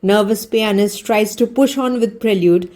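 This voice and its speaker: A woman's voice: medium pitch, unhurried, warm tone. Woman reading a comic story aloud. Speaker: audiobook storyteller.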